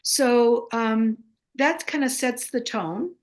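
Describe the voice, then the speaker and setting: An older American woman, a native Greek speaker, talking as a guest in a casual interview, halting with many fillers and restarts. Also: a little high. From United States.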